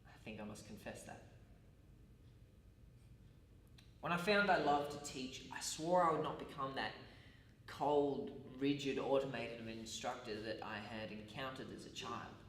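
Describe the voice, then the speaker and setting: A man acting out an earnest, self-justifying male college teacher in a stage monologue. He speaks in formal, halting, deliberate phrases at a middle pitch. The sound is distant and very echoey. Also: a bit bright, natural voice. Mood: earnest, confiding. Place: large echoey room at a college. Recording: echoey hall, distant mic, faint noise.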